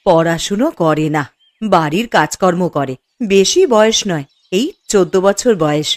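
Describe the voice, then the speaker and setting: A woman's voice: on the low side, normal speed, no echo, close to an everyday voice. A woman voicing a humble, deferential housemaid and mother who speaks guardedly in a cartoon. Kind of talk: Bengali moral-story cartoon dubbing. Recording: music under the voice.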